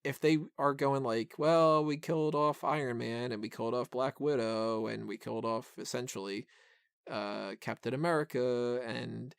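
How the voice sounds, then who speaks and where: A man's voice: mid-range voice, steady tone, moderate pace, creaky, clear, resonant tone, no echo, clean studio sound. A man hosting a film review podcast, talking casually and giving opinions in a rambling, self-interrupting way full of "like".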